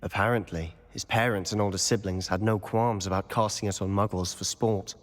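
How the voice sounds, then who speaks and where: A brooding teenage wizard, played by a man in a video game. His voice sits fairly low, and he speaks in a serious, persuasive, confiding way. Long reverb rings around it. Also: steady tone, brisk, lightly acted. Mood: grave, confiding. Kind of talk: video game cutscene acting.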